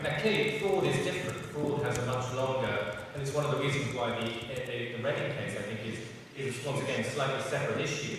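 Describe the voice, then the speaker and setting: A male panelist answers at length, circling back and restarting his sentences often. He is heard from a distance in a very echoey hall. Clear background noise hangs under his voice. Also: mid-range voice, fairly bright tone. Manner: rambling, many restarts and fillers. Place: large public hall.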